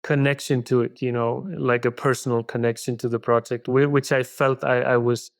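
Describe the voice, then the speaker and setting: A middle-aged man whose first language is Icelandic, giving thoughtful, halting interview answers full of restarts. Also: mid-range voice, little pitch movement, gravelly, no echo, clean studio sound. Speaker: film director guest.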